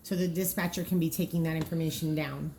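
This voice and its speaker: A woman's voice: low-pitched, fairly even intonation, fast talker, creaky, dark tone, slight background noise. A woman giving an informal walkthrough as a trainer, practical and explanatory, often thinking aloud. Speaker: trainer presenting draft dispatcher training cards.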